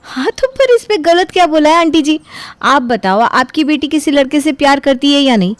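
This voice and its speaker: Woman putting on a high-voiced, brash young daughter-in-law. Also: animated, moderate pace, no echo. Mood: defiant. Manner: butts in, argues back, defensive. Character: brash, self-righteous young daughter-in-law who never admits fault. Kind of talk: cartoon voice acting.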